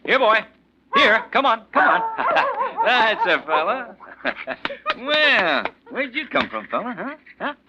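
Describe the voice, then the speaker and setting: Strained professor husband with wildly swinging pitch. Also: male voice, huge pitch swings, slow pace, long pauses, lo-fi sound, exaggerated voice. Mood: gentle, friendly. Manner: hesitant, pleading, breaking into outbursts. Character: weary, henpecked, strained history professor husband. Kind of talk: 1940s radio drama acting. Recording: very noisy, crackly vintage radio recording.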